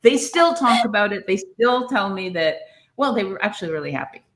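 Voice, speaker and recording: A woman's voice: mid-range voice, animated, fairly quick, fairly bright tone. A middle-aged woman co-hosting a podcast, discussing literature casually in an emphatic, opinionated way that wanders into tangents. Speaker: podcast co-host.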